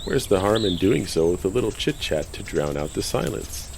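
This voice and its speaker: A man's voice: low-pitched, very animated, normal speed, creaky, a little mellow, a little hiss or hum, full character voice. An actor playing an easygoing, wisecracking male captive in an audio drama. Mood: chatty. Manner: casual, wisecracking.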